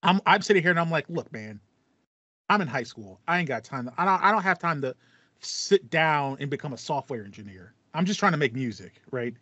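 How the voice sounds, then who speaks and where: A man's voice: fairly high pitch, lively intonation, a little fast, pushed and bright, no room sound, highs cut off. A young man hosting a podcast, chatting casually and acting out his reactions as he tells stories. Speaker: podcast host. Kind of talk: casual chat. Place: small home room with foam panel, indoors.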